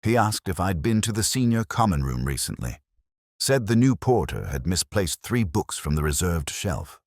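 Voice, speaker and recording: A man playing a weary, wry older police inspector, narrating a literary audio drama in a measured, understated, reflective way. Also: deep voice, sing-song, no room sound, studio quality, heavily acted. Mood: wary, reflective.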